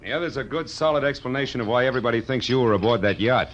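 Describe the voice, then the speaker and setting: An American actor playing a weary, wisecracking private detective with a dry deadpan delivery in 1940s radio noir style. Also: male voice, medium pitch, very fast, dull audio, slight background noise, lightly acted. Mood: wry, unimpressed. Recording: old radio recording with music behind.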